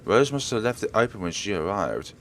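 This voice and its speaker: A man's voice: fairly low pitch, expressive, brisk, a little hiss or hum. A man hosting a let's play, reading a visual novel aloud in a theatrical way and sometimes stumbling and rereading words. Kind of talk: dramatic read-aloud narration of a visual novel.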